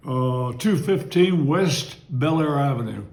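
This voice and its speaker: A man's voice: on the high side, expressive, unhurried, a little mellow, echoey room. A man in his nineties from Maryland, reminiscing about his childhood in an oral history interview and wandering into digressions. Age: 90s. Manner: rambling, digressive, chuckles while recalling.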